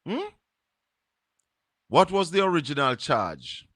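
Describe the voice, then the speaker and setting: A Jamaican man with a Jamaican accent hosting a live stream, musing slowly and slipping into patois. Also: a little high, very animated, fairly bright tone, no room sound, clean studio sound. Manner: slow, musing, drops into patois. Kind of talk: casual live-stream commentary.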